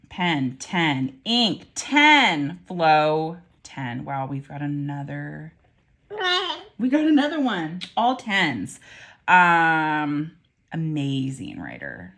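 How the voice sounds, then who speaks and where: A woman with a low, unhurried voice talks through her reviews. Her pitch swings widely as she rambles, full of ums, restarts and wry, mildly salty asides. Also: creaky, a bit bright. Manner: chatty, rambling, frequent ums and self-corrections. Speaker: pen and ink reviewer. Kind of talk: casual review vlog.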